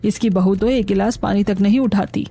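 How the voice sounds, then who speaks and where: A woman's voice: mid-range voice, fairly even intonation, normal speed, vocal fry, warm tone, husky. A woman voicing a stern, protective middle-aged mother-in-law in a cartoon story, firm and restrained. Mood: indignant.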